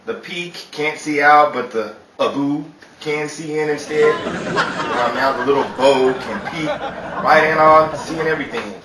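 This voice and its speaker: A man's voice: fairly high pitch, measured pace, natural voice. A man playing a bumbling, self-important stage magician in a theatrical old time radio comedy style. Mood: sheepish. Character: bumbling, boastful male stage magician and show announcer. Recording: roomy distant mic, noisy crowd behind.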